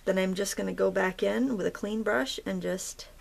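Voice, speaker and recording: A woman hosting a craft tutorial, chatting in a relaxed, rambling way as she paints. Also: mid-range voice, normal speed, gravelly. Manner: relaxed, rambling, with fillers and soft laughs. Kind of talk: casual chatty tutorial narration.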